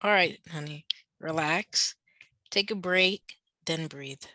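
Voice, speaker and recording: Woman as middle-aged prison guard lady, reassuring, bright forward voice, lowish, script read. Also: slow, heavily acted. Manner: soothing wording, calls listener honey. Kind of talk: script table read acting.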